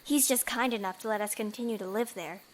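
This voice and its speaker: A woman's voice: mid-range voice, fast, natural voice, rough recording. A young woman acting in an audio drama as an earnest, pleading bride-to-be who is secretly a bandit. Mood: earnest, sorrowful.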